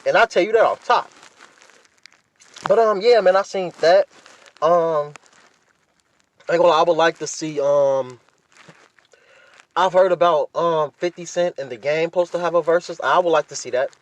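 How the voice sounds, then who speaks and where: Higher-voiced American man chatting casually. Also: normal speed, long pauses, lo-fi sound. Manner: informal slangy talk with frequent profanity. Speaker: podcast host. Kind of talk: casual solo chat with opinions, addressing listeners.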